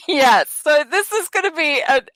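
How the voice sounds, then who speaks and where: A bubbly woman hosts a relaxed science chat, her voice sitting a little high and her pace unhurried. She is recorded close and dry, with no room sound. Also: dry recording. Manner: bubbly, exclamatory, laughs often. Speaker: host. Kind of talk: casual radio science chat.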